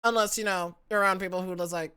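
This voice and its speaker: A woman's voice: medium pitch, fairly even intonation, fast talker, bright, forceful tone, clear, resonant tone. A young adult woman with a Southern American accent mixed with internet influences, chatting casually as a livestreamer in a playful, giggly, self-mocking way from her home setup. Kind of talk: casual stream chat.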